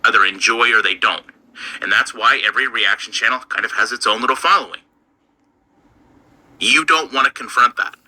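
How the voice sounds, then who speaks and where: A man's voice: mid-range voice, normal speed, pauses often, bright, forceful tone. A man hosting a commentary channel, lecturing bluntly in a scripted delivery.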